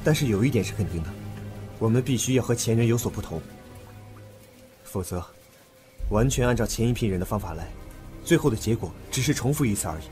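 A man's voice: on the low side, lively intonation, a little fast, long pauses, mellow, faint music behind, natural voice. An actor playing a level-headed, talkative young man among friends in an audio drama. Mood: grave, reasoning. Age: young adult. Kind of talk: Chinese audio drama acting.

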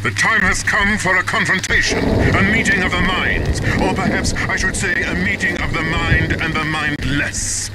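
An actor playing a mad, theatrical ghost of a psychiatrist-surgeon in a video game, pompous and florid in delivery. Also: male voice, sits high, steady tone, normal speed, few pauses, very noisy, close to an everyday voice. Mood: condescending, menacing. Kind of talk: video game villain acting. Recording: loud music over the voice.

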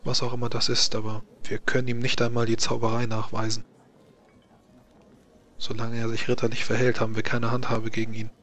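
Man playing a grave, even-toned court mage. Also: medium pitch, close to an everyday voice. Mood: grave, worried. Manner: deliberate, halting, formal explanations. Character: grave, worried male court mage, formal advisor. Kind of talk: tabletop roleplay in character.

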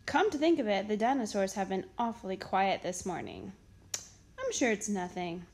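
A woman reading a children's picture book aloud in an expressive, dramatic storytelling manner. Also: medium pitch, moderate pace. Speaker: storytime reader.

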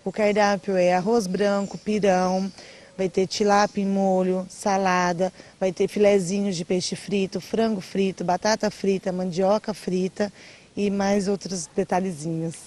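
A woman's voice: medium pitch, level tone, unhurried, darkish tone. A young woman being interviewed outdoors, answering in a casual, chatty way. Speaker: marketing manager of a charity. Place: outdoors by a brick wall.

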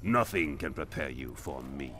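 An actor playing a menacing, imperious dark elder god in a fighting game, speaking with haughty contempt. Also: male voice, extremely low pitch, expressive, moderate pace, background noise.

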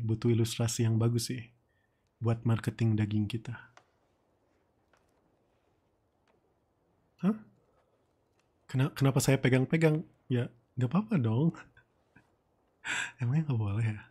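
Man playing a breathy, mellow, flirtatious boss. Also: medium pitch, natural voice. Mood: teasing.

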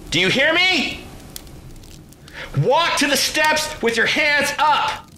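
A man's voice: very high-pitched, bright, forceful tone, a little hiss or hum, heavily acted. An American actor playing a protective, rattled middle-aged father in an audio drama, urgent and commanding when alarmed. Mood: alarmed, shouting. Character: protective, rattled father facing an eerie mystery.

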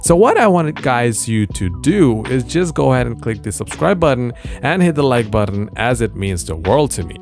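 A man narrating a scripted software tutorial as host, with an emphatic, sing-song instructional delivery. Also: mid-range voice, very animated, moderate pace, creaky, hardly any pauses. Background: background music.

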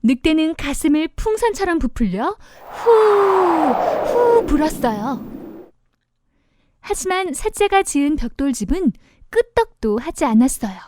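A woman with a high-pitched voice tells a children's storybook. Her narration is expressive and animated, swinging widely in pitch, and pitched simply for young children. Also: a little slow, noisy background.

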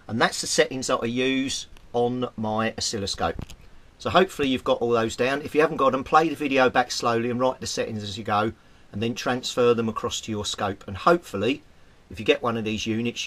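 A man's voice: medium pitch, fairly bright tone. A man with a British accent presenting an informal hands-on demonstration in a plain-spoken, explanatory way. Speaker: hobbyist video presenter. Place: small indoor hobby workshop.

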